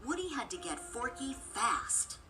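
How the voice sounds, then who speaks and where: A woman reading a scripted children's storybook as narrator, expressive and clearly phrased. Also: a little high, unhurried, music in the background.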